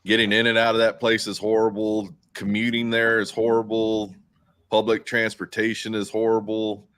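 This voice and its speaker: A man on a sports show panel chatting casually, repeating words in a halting way as he makes his points. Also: on the low side, monotone, a bit bright, clean tone.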